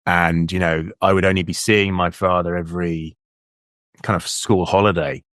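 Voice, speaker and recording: Deep-voiced English man, reflective. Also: level tone, normal speed, dry recording, clean studio sound. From England. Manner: warm, reflective, repeats words as he thinks. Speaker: guest, leadership coach. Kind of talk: casual interview talk.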